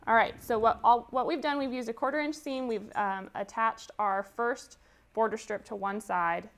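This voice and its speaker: Woman, fairly high voice, chatty quilting teacher, step-by-step explanations. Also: fairly even intonation, normal speed, gravelly. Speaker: quilting tutorial host. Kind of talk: live sewing demonstration.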